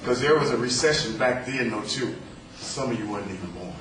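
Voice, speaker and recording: A man in his fifties with a loud voice, reciting a poem to a rally. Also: medium pitch, expressive, a loud crowd. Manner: loud, declaiming with slow emphatic delivery. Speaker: rally speaker. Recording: echoey, distant, with clear background noise.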